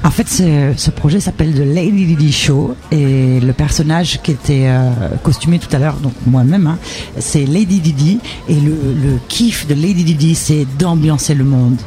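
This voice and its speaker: Chatty french woman on festival radio, mellow darkish voice, lively and familiar. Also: sits low, moderate pace. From France, north Charente. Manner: lively, talkative, switches to familiar tu. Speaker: festival performer and guest. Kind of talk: casual radio interview chat.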